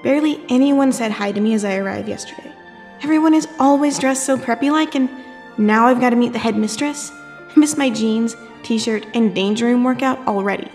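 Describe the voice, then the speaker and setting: Woman playing high-voiced, wry teenage girl. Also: a little fast, a little mellow, faint music behind, lightly acted. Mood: glum. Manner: casual, wry, questioning. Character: Kitty, teenage girl mutant, chatty, uneasy newcomer. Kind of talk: audio drama acting.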